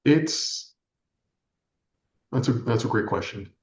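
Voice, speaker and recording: A man from California whose first language is Spanish, giving earnest, measured, reflective answers as an interview guest. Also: mid-range voice, expressive, a little mellow. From California, United States. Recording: echoey room, slightly dull audio.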